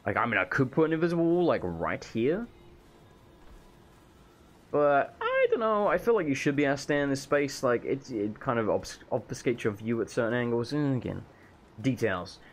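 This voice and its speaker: A man with a hoarse voice, losing it from being sick, rambling casually as he shows off his game mod. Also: mid-range voice, big pitch swings, normal speed. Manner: rambling, self-correcting, casual swearing. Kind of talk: casual gameplay walkthrough. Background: faint game music.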